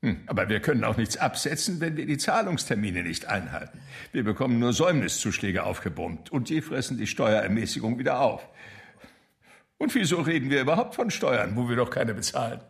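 An actor playing a reflective brother narrating a radio drama in a dry, measured, literary way. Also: male voice, medium pitch, big pitch swings, gravelly, breathy edge, no room sound, full character voice. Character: wry, reflective brother recalling his eccentric household.

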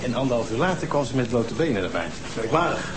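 Casting: male actor for gossipy cop in a TV drama. Halting, relishing each detail, echoey and noisy room. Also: mid-range voice, expressive, natural voice. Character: hesitant, gossipy male police officer. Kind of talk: naturalistic TV crime drama dialogue.

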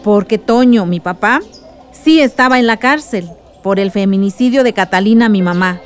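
An actress playing a warm, reflective aunt who recalls her village past in a nostalgic radio drama. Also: medium pitch, normal speed, natural voice. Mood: sober, reflective. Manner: nostalgic, storytelling.

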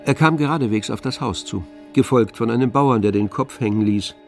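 A man's voice: medium pitch, a little fast, creaky, faint music behind. A man narrating a radio drama adapted from a novel in a scripted literary style.

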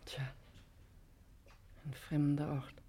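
An actress playing a weary, practical wife in a 1950s German radio play, measured and gently dry. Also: female voice, deep voice, monotone, breathy edge, heavily acted. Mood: weary, dreamy. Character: weary, practical wife settling into a strange house. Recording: faint noise, 1950s radio recording.

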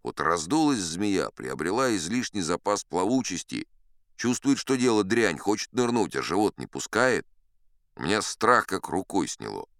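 Male actor as an old braggart sea captain, smooth deep voice, dry close studio sound, chatty tall-tale teller. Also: sing-song, normal speed, fairly bright tone, dry recording, heavily acted. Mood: boastful, confiding. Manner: chatty, confiding asides to the listener. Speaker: audiobook narrator. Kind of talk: first-person storytelling in an audio play.

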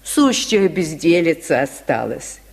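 A male reader plays a kind, fussing village woman in a radio tale. The voice is husky and swings widely in pitch, coaxing and solicitous. It rings in a long hall reverb of an old broadcast recording. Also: sing-song, takes it slowly, exaggerated voice. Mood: fussing, reassuring. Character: kindly, fussing, practical lame woman, washerwoman's friend. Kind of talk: radio storybook reading.

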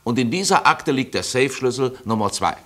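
An actor playing a determined, confident investigator in a radio drama, speaking precisely and persuasively. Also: male voice, mid-range voice, lively intonation, brisk, fairly bright tone, close to an everyday voice. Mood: determined, reasoning. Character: determined, confident male investigator from New York. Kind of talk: German radio drama acting.

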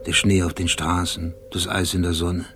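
An actor playing an obsessive, brooding middle-aged police detective with a gravelly voice, telling his memories deliberately in a radio play. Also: low-pitched, little pitch movement, music in the background. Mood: musing, urgent. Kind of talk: radio play acting, intimate monologue.

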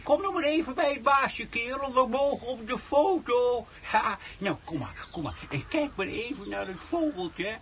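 A man's voice: very high voice, sing-song, normal speed, hardly any pauses, fairly bright tone, heavily acted. An actor playing a cheerful, nearsighted old grandfather in a comic radio play, muttering and repeating himself obliviously. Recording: dull lo-fi radio recording with noise.

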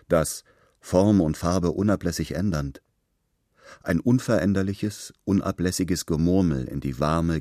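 Low-voiced man reading literary narration. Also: a little mellow, dry recording, studio quality.

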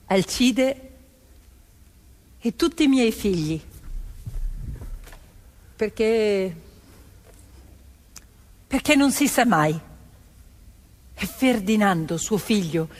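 An actress playing a peasant woman recounting family memories, with deliberate, expressive theatrical phrasing in an echoing space. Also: mid-range voice. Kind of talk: theatrical storytelling over music. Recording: echoey hall with long reverb. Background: violin music.

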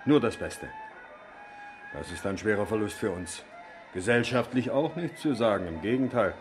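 An actor playing a blunt, busy garage boss in a radio crime drama, brusque and colloquial. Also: male voice, on the low side, expressive, moderate pace, over music, lightly acted. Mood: matter-of-fact.

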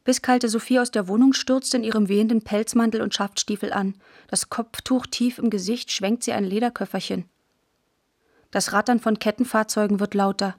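A woman's voice: medium pitch, fairly even intonation, moderate pace, darkish tone, no room sound, clean studio sound. A woman narrating a radio play in clipped, short phrases, like someone reading stage directions. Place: dry studio.

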